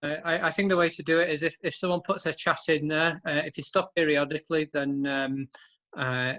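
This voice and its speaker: A man hosting an online workshop over a video call, hesitant and halting with frequent uhs. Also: a little high, steady tone, bright, forceful tone, no echo. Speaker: workshop organiser. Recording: dull lo-fi video call audio.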